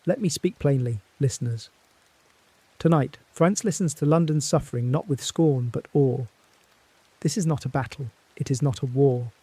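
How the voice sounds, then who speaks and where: An actor playing an earnest foreign radio correspondent, speaking in a solemn, measured way. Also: male voice, mid-range voice, dark tone, slightly rough, dry recording, lightly acted. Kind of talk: dramatic period radio reporting.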